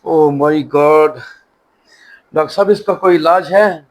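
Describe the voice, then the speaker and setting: A man's voice: fairly high pitch, slow. A man playing a dutiful, anxious and deferential elder son in a moral short drama. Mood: alarmed. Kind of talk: Urdu moral short-film acting.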